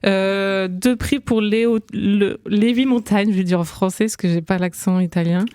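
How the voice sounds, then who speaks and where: A young woman talking casually as a guest critic in a radio studio, hesitant, with many fillers and quick laughs. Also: medium pitch, steady tone, darkish tone, clean tone, no echo. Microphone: studio microphone.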